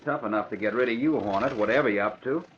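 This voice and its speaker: An actor playing a brutal, bullying small-time gangster in an old radio crime drama, rough and slangy. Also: male voice, medium pitch, quick pace, close to an everyday voice. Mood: bullying, sneering. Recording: muffled, noisy old radio recording.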